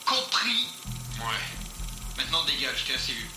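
A man acting a hard, contemptuous interrogator from an audio drama. He gives orders and threats with scornful menace. The space is echoey and loud music plays behind him. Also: mid-range voice, very animated, a little slow. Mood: menacing, contemptuous. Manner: menacing, insulting, commanding.

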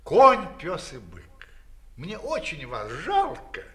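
A man's voice: a little high, huge pitch swings, slow pace, fairly bright tone, exaggerated voice. A man voicing a fairy-tale master of animals, speaking to them with pity and firmness. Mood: pitying, firm.

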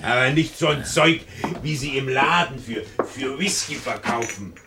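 An actor playing a chatty old lighthouse keeper in a radio crime drama, with a folksy, halting way of talking. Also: male voice, medium pitch, natural voice. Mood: jovial, hospitable. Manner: folksy, hesitant, repeating words. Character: old lighthouse keeper and seaman, bluff, chatty, whisky-drinking. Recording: old radio play with faint background noise.

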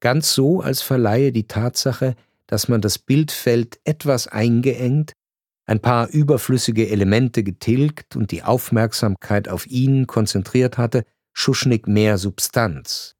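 A man narrating a radio drama in a measured, reflective way, with deliberate pauses. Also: medium pitch, a little mellow, dry recording, clean studio sound.

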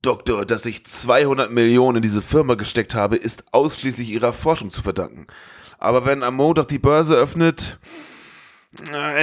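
A man voicing a wealthy film investor who speaks in a measured, warning manner. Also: medium pitch, natural voice.

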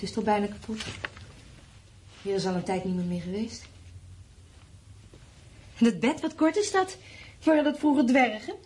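A weary, wistful woman on the run in a radio play. Her voice sits on the high side, plaintive and needling, and slips into dreamy murmuring. The recording has some light noise. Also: darkish tone. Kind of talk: radio drama acting.

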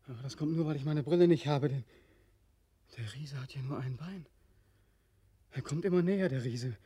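A man's voice: fairly high pitch, moderate pace, dark tone, husky. A man acting a haunted, despairing returned soldier in a 1940s German radio play, brooding and intense, swinging between whispers and outcries. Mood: dazed, oppressed.

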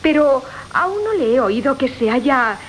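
High-voiced, coquettish Castilian gold-digger. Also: female voice, sing-song, measured pace, rough recording. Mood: teasing. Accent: Castilian Spanish. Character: coquettish greedy young woman, feigning modesty while begging gifts. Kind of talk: 1980 Spanish radio theatre acting of classic farce. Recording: faint background noise, old radio broadcast.

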